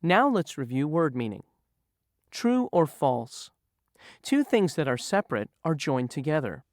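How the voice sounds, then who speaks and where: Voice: mid-range voice, very animated, a little slow, a little mellow, dry recording, studio quality. A reader posing review questions in a vocabulary lesson, then giving and explaining the answers. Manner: poses a choice, then confirms the right answer. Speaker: quiz reader. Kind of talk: lesson quiz narration.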